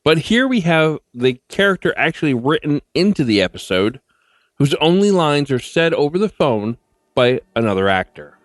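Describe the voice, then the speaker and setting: A man's voice: fairly high pitch, very animated, moderate pace, no echo. A man hosting a podcast in a scripted narration style. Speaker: podcast host.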